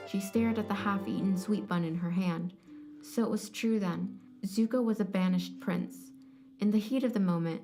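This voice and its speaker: A woman reading scripted story narration as the narrator of an audio drama. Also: medium pitch.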